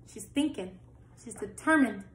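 A woman's voice: medium pitch, expressive, unhurried, fairly bright tone. A young woman reading a picture book aloud to children, adding simple explanations and questions for her young listeners. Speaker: storytime reader for children. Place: small plain-walled room indoors. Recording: roomy, echoing home recording.

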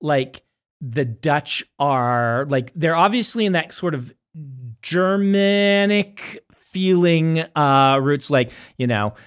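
A man's voice: a little high, expressive, slow pace, clean tone. Man rambling playfully on a podcast. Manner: rambling, self-correcting, playful. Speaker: podcast co-host. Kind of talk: casual chat. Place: small studio set indoors. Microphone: boom-mounted studio mic.

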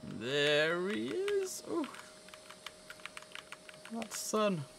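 A man's voice: high-pitched, wildly swooping pitch, very slow, creaky, background noise. A man in his thirties giving casual live game commentary, chatty, switching between asides and formal controller calls. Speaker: streamer playing an air traffic controller. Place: indoor room, live stream.

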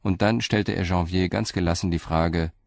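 A man narrating a radio crime play in a calm, measured storytelling manner. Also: low voice, gravelly, no echo, studio quality. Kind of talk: scripted audio drama narration.

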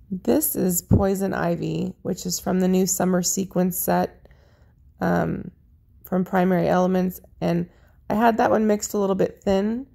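Chatty woman with a gravelly, raspy voice. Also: fairly low pitch, dark tone. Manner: chatty, friendly, lists colors as she works. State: slightly raspy voice. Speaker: paint pouring artist. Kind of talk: casual how-to narration over craft footage.

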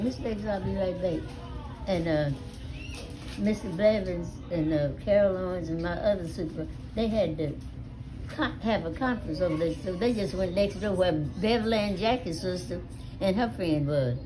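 An elderly American woman telling stories casually to family, with a salty, animated manner, recorded on a phone at home. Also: on the low side, noisy background. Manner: animated, salty, acts out dialogue with swearing. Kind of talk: casual storytelling among family. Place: small living room at home. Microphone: phone held near her.

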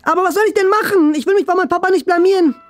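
A nerdy, eager schoolboy with an extremely high voice. He is fussy and pleading, hedging as he goes, in a comedy sketch with faint music behind him. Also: racing. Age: young adult. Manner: fussy, pleading, hesitant with many hedges. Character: nerdy, eager schoolboy desperate to impress his father. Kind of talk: scripted classroom comedy sketch. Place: small bright classroom, indoors.

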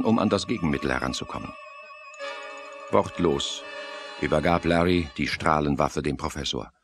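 A man giving scripted, dramatic narration for a German thriller audio drama. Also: rumbling low.

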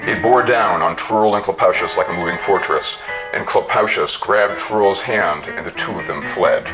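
A man giving a theatrical story reading with deliberate emphasis. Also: sits low, over music, low-quality recording. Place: small indoor room with bookshelves.

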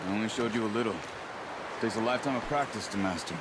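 Low, stoic hunter played by a man. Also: fast talker, heavy background noise, natural voice. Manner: understated, matter-of-fact. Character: calm, stoic hunter, modest and terse. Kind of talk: dramatic game cutscene acting.

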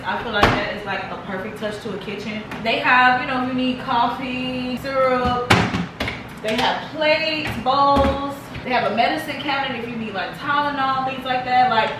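A young woman giving a casual house tour vlog, chatting in an animated, slangy, gushing way. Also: fairly high pitch. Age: young adult. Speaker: vlogger. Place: indoors, rooms of a house. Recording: echoey rooms with clear background noise.

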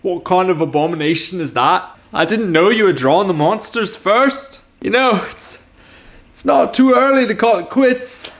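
Man putting on a high-pitched scolding friend. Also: animated, normal speed. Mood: scornful. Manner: exclamatory, needling. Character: scolding, mocking male friend barging in with "laddie".

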